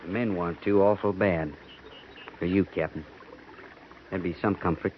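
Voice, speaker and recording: An actor playing a loyal, plain-spoken cavalry sergeant with a folksy way of talking. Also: male voice, low voice, steady tone, normal speed, natural voice. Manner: respectful, folksy. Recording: muffled 1950s radio broadcast with hiss.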